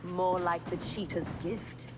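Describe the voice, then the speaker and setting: A woman playing a bloodthirsty, feral cat-cursed villainess in a video game, taunting slowly and savoring her menace. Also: fairly low pitch, level tone, rough recording. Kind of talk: video game cutscene acting. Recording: loud music underneath.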